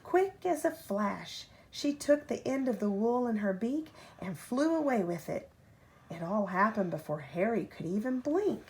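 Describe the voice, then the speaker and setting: A woman reading a children's picture book aloud like a parent at bedtime, with expressive, deliberate phrasing and drawn-out key words. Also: a little high, low-quality recording. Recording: home recording with faint background noise.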